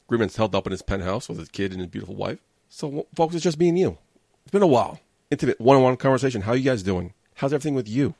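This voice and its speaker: A man's voice: fairly low pitch, no echo, clean studio sound. A man, hosting a casual solo podcast, chatty and joking with his listeners. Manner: chatty, self-deprecating, joking asides to listeners. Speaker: podcast host.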